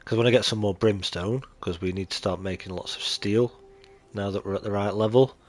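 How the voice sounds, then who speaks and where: A man's voice: lowish voice, steady tone, a little fast. A British man streaming a game live, chatty and greeting his viewers, sounding nasal and chesty from allergies. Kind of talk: live stream gameplay commentary.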